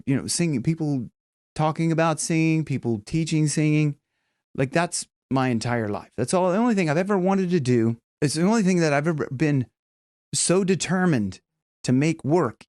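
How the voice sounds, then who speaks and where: Male vocal coach, casual and emphatic, lively pitch, dry close sound. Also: no room sound. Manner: chatty and emphatic, with vocal demos. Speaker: singing teacher. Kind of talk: casual video lesson.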